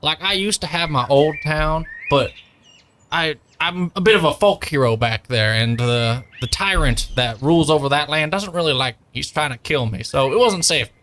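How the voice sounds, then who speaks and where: A man's voice: on the high side, moderate pace, a bit bright, pitched below the performer's own voice, natural voice. A man voicing a chatty druid folk hero in a put-on southern drawl, at a tabletop game. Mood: wistful. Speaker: player.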